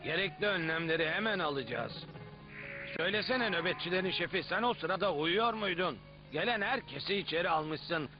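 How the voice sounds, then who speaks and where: A man's voice: sits high, expressive, a little fast. A man playing a flustered but commanding master in a dubbed educational cartoon. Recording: dull lo-fi cartoon soundtrack, music behind.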